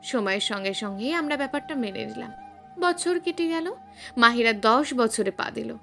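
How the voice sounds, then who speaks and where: A woman's voice: a little high, expressive, moderate pace, gravelly, sharp tone, soft background music. A woman narrating a scripted suspense story in a dramatic, emphatic storytelling manner.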